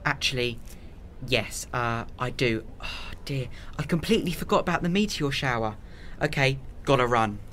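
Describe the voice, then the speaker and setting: A man's voice: medium pitch, lively intonation, normal speed, bright, forceful tone, slight background noise. A man playing a charming, apologetic, scatterbrained adventurer in an audio drama. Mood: flustered, playful. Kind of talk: full-cast audio drama acting.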